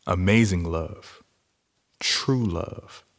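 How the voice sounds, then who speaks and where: An actor playing an earnest activist man in love, delivering a poetic, deliberate dramatic monologue for a radio play. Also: sits low, exaggerated voice. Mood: tender, reflective. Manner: deliberate, poetic phrasing with weighty pauses. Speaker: lead actor in a stage-style audio play. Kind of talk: dramatic spoken-word monologue.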